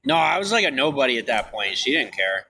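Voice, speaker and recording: A man's voice: medium pitch, big pitch swings, quick pace, creaky, pushed and bright, slightly rough. A man livestreaming in casual, rambling talk as he reads and answers messages from viewers.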